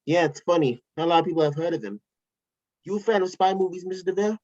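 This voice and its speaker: A man's voice: high voice, fast, a little mellow. A man reading a breezy, casual billionaire in an online table read, with a loose, casual delivery. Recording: dull lo-fi home mic.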